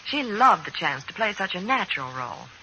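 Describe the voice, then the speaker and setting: Female radio announcer, sharp bright tone, lively intonation, scripted 1940s commercial, old broadcast recording. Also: lowish voice, fairly quick, vocal fry, a little hiss or hum, rough recording.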